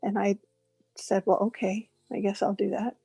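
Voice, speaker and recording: A woman's voice: medium pitch, flat delivery, moderate pace, vocal fry, breathy edge, dry recording, faint music behind. Chatty woman in her forties, dry mic. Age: 40s. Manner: chatty, warm, laughs often. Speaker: guest voice actress. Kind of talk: casual interview chat.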